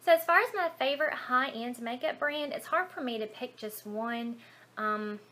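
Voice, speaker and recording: Female beauty vlogger, Southern US accent, bright and high-ish voice, casual rambling Q&A delivery. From Alabama, United States. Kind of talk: casual chatty Q&A to camera.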